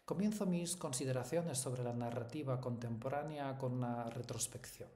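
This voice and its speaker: A man whose first language is Polish presents scholarly work in a formal, measured way. His voice is mid-pitched and mellow, with a relaxed, dark tone. The recording has a clearly echoey room sound. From Poland. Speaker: academic, Hispanist researcher. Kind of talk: prepared academic book presentation.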